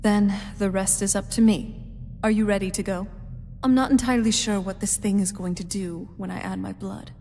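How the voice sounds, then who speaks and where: A woman playing a young-looking, knowing vampire in a fantasy video game, with a dry, measured way of explaining. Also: mid-range voice, fairly even intonation, mellow, slight background noise, lightly acted. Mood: brisk, wary. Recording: long hall reverb, music underneath. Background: game music.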